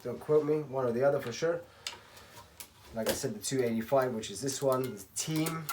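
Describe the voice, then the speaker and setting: Guy from spain doing a casual how-to, kinda rambly, clears his throat, clicky clattery workshop noise behind him. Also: medium pitch, normal speed. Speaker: racquet stringer giving a tutorial. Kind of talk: casual hands-on tutorial talk.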